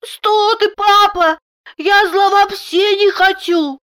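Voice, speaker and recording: A woman's voice: very high-pitched, fairly even intonation, slow, fairly bright tone, voice pushed up, heavily acted. A woman voicing a sweet, mischievous little girl in a story, with a childish lisp that turns R into L. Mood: innocent, sweet. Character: Masha, lisping little girl, sweet, mischievous daddy's darling.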